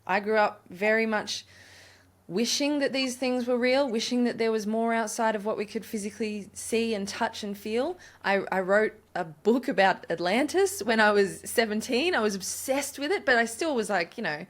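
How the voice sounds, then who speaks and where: A woman's voice: a little high, normal speed. A woman hosting a podcast interview, talking in an enthusiastic, affirming way and thinking aloud as she goes. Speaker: podcast host. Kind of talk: casual interview chat.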